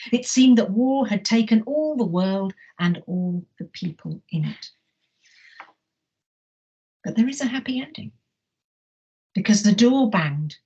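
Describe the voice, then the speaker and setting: A middle-aged woman with an English accent reads a story to schoolchildren, then gently poses questions. Her voice has a slightly husky edge and sits mid-range. The sound is a little muffled, like a lo-fi online lesson stream. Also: mid-range voice. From Wales. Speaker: teacher. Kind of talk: reading a picture book aloud and teaching children. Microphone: live online lesson mic.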